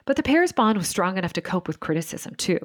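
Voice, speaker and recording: A woman hosting and narrating a scripted documentary in a measured, articulate storytelling manner. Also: medium pitch, big pitch swings, no room sound, clean studio sound. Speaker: podcast host and narrator.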